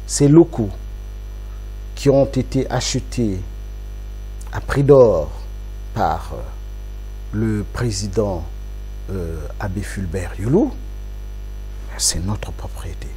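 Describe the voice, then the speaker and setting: A man's voice: on the low side, animated, slow pace, pauses often, mellow, husky, low-quality recording. A man from Congo, an opposition politician speaking in an interview, making his points emphatically with rhetorical repetition. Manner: emphatic, rhetorical, repeats phrases for effect.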